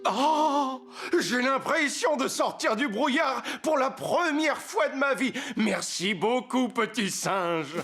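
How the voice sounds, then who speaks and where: A man's voice: extremely high pitch, animated, slow, hardly any pauses, sharp tone, heavily acted. A man voicing a querulous, nearsighted male tenant in a cartoon dub. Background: music.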